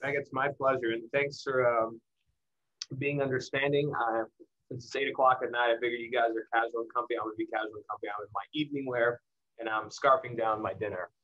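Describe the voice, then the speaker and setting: An American man with a mid-pitched voice speaks as a guest on an online talk. He is candid and conversational, pausing for emphasis as he motivates young professionals. The audio is a little dull and lo-fi. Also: steady tone, fairly bright tone. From El Paso, Texas, United States. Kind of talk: casual motivational talk to students. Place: indoors in the evening, online livestream.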